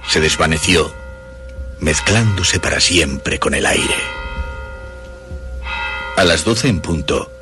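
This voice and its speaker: An actor with a Castilian Spanish accent playing a sinister, menacing vampire storyteller in a radio horror drama, with a gravelly voice. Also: male voice, a bass voice, lively intonation, slow pace, long pauses, over music, heavily acted. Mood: ominous.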